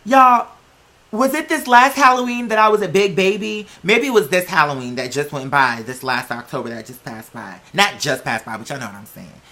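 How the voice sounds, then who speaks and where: An American vlogger telling personal stories in a candid, chatty, slangy way, with drawn-out words for emphasis. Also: mid-range voice, pushed and bright. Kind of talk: casual personal storytelling to viewers.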